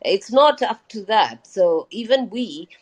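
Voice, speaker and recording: A woman's voice: medium pitch, sing-song, slow pace. A woman doctor and lecturer, a guest in a live interview, explaining things patiently with many hesitant fillers. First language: not Hindi.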